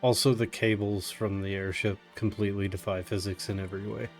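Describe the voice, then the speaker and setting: A man's voice: on the low side, level tone, fairly quick. A man giving casual live commentary on a speedrun in a jokey, sarcastic way. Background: video game music.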